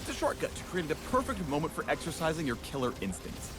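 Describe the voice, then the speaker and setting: Lively, fast male game-guide narrator. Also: a little high. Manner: punchy, theatrical promotional delivery. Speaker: video narrator. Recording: music bed behind.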